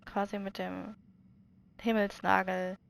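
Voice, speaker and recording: A woman's voice: medium pitch, flat delivery, slow pace, gravelly. A woman giving casual let's play commentary, informally thinking aloud as she goes. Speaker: gaming streamer.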